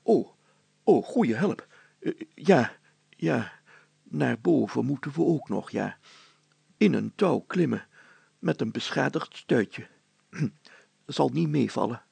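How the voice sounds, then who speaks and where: A man voicing a fussy, bumbling older mayor in a children's story, flustered and self-pitying, hemming, hawing and clearing his throat. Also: mid-range voice, lively intonation, takes it slowly, long pauses, a little mellow, no room sound, pitched above the performer's own voice, full character voice. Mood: reluctant, grumbling.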